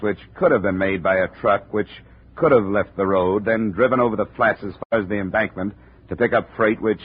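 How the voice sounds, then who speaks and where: An actor playing a wry, matter-of-fact insurance investigator who narrates and questions people in a 1950s radio drama. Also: male voice, lowish voice, breathy edge. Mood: narrating. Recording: dull old radio transcription.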